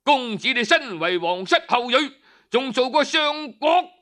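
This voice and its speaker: A man's voice: extremely high pitch, animated, a little slow, sharp tone, voice pushed up, full character voice. A male storyteller with a Cantonese accent voicing a blunt, righteous commoner sage in indignant, declamatory speech. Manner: declamatory, indignant, hard-hitting. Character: blunt, righteous commoner sage scolding his noble friend.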